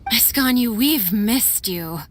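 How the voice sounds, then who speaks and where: High-voiced, overworked pleading clerk. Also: female voice, animated, slow, slight background noise. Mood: effusive, weary. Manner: effusive, pleading. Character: overworked, pleading female office clerk, dramatic and deferential. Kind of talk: video game voice acting.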